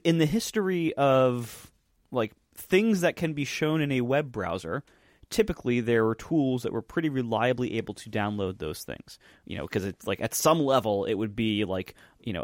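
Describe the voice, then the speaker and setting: A hoarse man hosting a podcast, chatting casually, rambling with many fillers and frequent laughs. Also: medium pitch, no room sound, clean studio sound. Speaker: podcast host. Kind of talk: casual chat.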